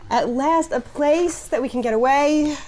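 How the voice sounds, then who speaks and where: A woman playing the excitable, emotional wife of a 1920s gangster at a table reading of a TV script. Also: sits high, measured pace, a little hiss or hum. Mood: delighted.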